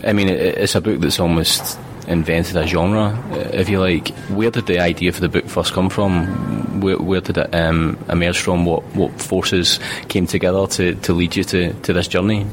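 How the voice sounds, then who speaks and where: A man with a low, monotone voice works as an interviewer. He phrases his questions hesitantly, searching for words, with noticeable noise in the background. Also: normal speed, few pauses.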